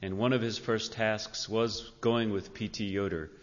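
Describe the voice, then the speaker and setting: A male pastor with a fairly low voice addresses a church in an earnest, measured way. His words ring through a long hall reverb, and the recording is somewhat dull. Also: fairly even intonation. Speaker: pastor introducing a guest speaker. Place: large indoor church sanctuary.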